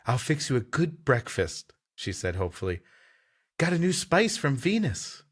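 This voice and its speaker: A man's voice: medium pitch, big pitch swings, moderate pace, slightly rough. A man co-hosting a podcast, reading a story aloud with dry, wry joking asides. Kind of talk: casual banter mixed with story reading.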